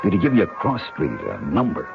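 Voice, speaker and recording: An actor with an American accent playing a tough, practical ex-agent in an old-time radio drama, talking in clipped, slangy commands. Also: male voice, low voice, wildly swooping pitch, a little fast, creaky, heavily acted. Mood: brisk, plotting. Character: tough, practical male ex-Secret Service man of action. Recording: muffled radio broadcast with music behind.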